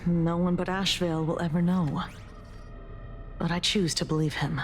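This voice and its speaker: A woman's voice: lowish voice, fairly even intonation, moderate pace, faint music behind, lightly acted. An actress playing a pensive female report writer, delivering a reflective scripted monologue in a game. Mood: pensive, subdued.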